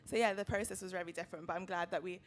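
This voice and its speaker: A woman's voice: lowish voice, fairly even intonation, very fast, a little hiss or hum. A young woman, a student and co-founder of a magazine, speaking casually on a panel, filling her sentences with likes and kind ofs. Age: young adult. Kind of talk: panel discussion.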